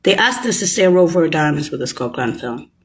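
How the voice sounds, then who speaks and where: A woman talking alone in a rambling, profane rant that jumps between topics and breaks into sudden shouts. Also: sits low, animated, a little fast. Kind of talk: rambling solo monologue.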